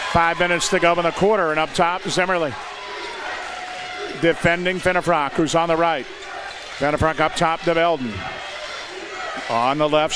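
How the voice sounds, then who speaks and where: An American man doing live play-by-play commentary of high school basketball in clipped, descriptive phrases. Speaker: play-by-play announcer. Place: indoor high school gymnasium. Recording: noisy gym with loud crowd. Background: crowd noise and bouncing basketballs.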